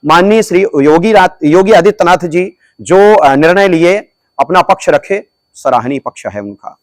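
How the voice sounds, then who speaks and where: High-voiced preachy religious man. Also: lively intonation, measured pace, no room sound. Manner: declamatory, repeats phrases for emphasis. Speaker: religious guru being interviewed. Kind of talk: preachy interview answers.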